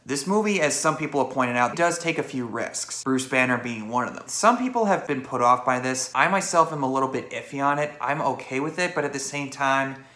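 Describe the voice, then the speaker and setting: A man giving an opinionated, expressive movie review in a roomy, echoey space. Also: medium pitch, a little fast, few pauses. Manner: expressive, acts out quotes and reactions. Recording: roomy, echoey indoor sound.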